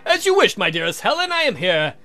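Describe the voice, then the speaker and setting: Man putting on a dramatic, high-pitched vampire count. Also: sing-song, a little fast, pushed and bright, soft background music, exaggerated voice. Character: male vampire count, dramatic, proud and touchy.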